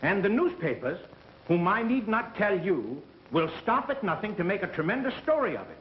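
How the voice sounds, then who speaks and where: A self-important, blustering executive in an old mystery picture. His high voice swoops as he orates formally. The room echoes around him. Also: big pitch swings, normal speed. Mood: pompous, stern. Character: pompous, blustering radio company president. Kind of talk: 1930s mystery film acting. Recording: echoey, muffled, hissy 1930s film soundtrack.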